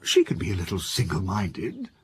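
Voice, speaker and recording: A man's voice: low voice, wildly swooping pitch, moderate pace, breathy edge, heavily acted. A man playing an affronted yet diplomatic middle-aged professor in a radio crime drama.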